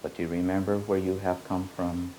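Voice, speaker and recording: Deep, flat-voiced man teaching slowly. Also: slight background noise, rough recording. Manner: repetitive, incantatory phrasing. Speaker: spiritual teacher. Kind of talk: slow meditative spiritual teaching.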